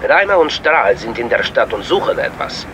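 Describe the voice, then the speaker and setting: An actor playing a composed, secretive informant in a German crime radio drama, speaking in a controlled, patronising way. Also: male voice, medium pitch, big pitch swings, normal speed, fairly bright tone, a little hiss or hum, rough recording. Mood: cool, reassuring.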